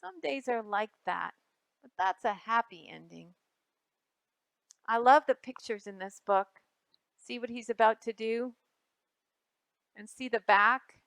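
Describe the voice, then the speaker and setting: A woman's voice: a little high, a little slow, vocal fry, pauses often, a bit bright, no room sound. A woman reading picture books aloud for a children's storytime, speaking expressively and directly to young listeners.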